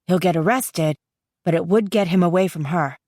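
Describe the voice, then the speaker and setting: A woman voicing a calm, supportive young college man in an audiobook, quietly thoughtful, gentle and measured. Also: dry recording, clean studio sound, close to an everyday voice. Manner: low-key, gentle, measured. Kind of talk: dramatised audiobook dialogue.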